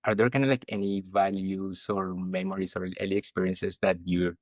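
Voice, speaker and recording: A man's voice: low voice, flat delivery, moderate pace, no room sound, clean studio sound. A man hosting a podcast in a conversational interview style, sounding enthusiastic and welcoming. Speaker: podcast host.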